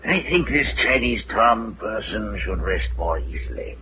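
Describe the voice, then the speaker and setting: An actor playing a sinister, grandiose maestro with formal, theatrical phrasing in a vintage radio drama. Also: male voice, fairly low pitch, animated, a little slow. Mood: smugly ominous. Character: sinister, grandiose male maestro, mad experimenter, coldly courteous. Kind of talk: 1940s radio acting. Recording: muffled, noisy old radio recording.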